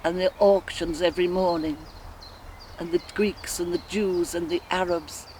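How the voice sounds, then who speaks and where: An actress playing a sensual Irish woman lost in rapturous memory, in an expressive, musing theatrical soliloquy with an Irish accent. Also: fairly low pitch, lightly acted. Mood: wistful, vivid. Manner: musing, expressive, slow and deliberate with sighs. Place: outdoors in countryside woodland. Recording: faint outdoor ambient noise. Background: faint birdsong and nature ambience.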